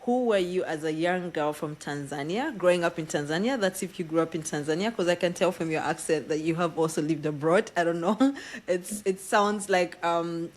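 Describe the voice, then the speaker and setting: A woman from East Africa hosts a relaxed podcast interview. Her voice sits a little low, and she talks in a chatty, storytelling way, laughing often. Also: normal speed. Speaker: podcast host. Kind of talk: casual interview chat.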